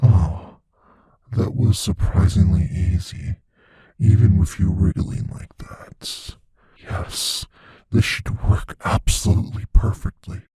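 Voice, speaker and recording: Man acting a deep husky predatory monster, slow and dark. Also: dry recording, exaggerated voice. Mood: predatory, playful. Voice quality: husky, breathy, near-whispered rasp. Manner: slow, musing, savoring pauses. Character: predatory shape-shifting male monster, playful, possessive, menacing. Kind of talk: intimate audio roleplay addressed to listener.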